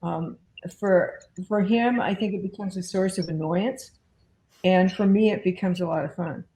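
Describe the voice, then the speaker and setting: A woman's voice: on the low side, moderate pace, dark tone. A woman giving casual, informal interview answers as a guest, with a self-deprecating way of talking. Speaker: podcast and comics producer, guest. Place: indoors at home. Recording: echoey room sound.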